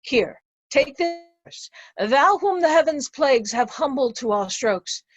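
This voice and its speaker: A woman playing a despairing, blinded old nobleman, grave, halting and lamenting, in a Shakespeare reading. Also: high-pitched, expressive. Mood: weary resolve. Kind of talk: remote readers' theatre Shakespeare performance.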